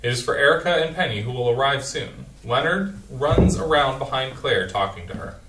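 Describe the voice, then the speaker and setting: Someone reading stage directions flat and plain, far off in an echoey hall. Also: mid-range voice, moderate pace, noisy background.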